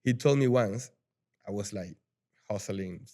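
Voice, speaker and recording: A man from Venezuela with a Venezuelan Spanish accent, a native Spanish speaker, talking as a guest in a casual podcast interview, animated, often restarting and repeating words. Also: fairly low pitch, measured pace. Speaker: guest, rum brand ambassador. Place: lives in Miami.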